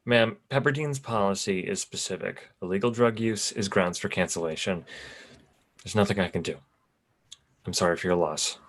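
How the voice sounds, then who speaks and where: A man reads an insurance adjuster with a very low voice. He is formal and officious, regretful yet immovable, in a screenplay reading. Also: normal speed. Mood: apologetic, firm. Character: regretful but unbending perky insurance adjuster. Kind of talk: screenplay table read.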